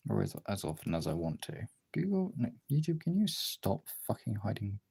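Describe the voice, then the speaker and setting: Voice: sits low, very animated, normal speed, mellow. A young adult livestream host chatting casually and informally while drawing, often muttering to themselves between replies to viewers. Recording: clear background noise, clicks.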